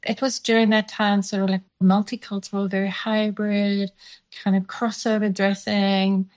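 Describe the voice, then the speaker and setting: Woman in her fifties, from South Africa, first language Dutch, thoughtful, halting, interview guest. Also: mid-range voice, little pitch movement. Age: 50s. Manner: thoughtful, many fillers and restarts. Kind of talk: reflective interview.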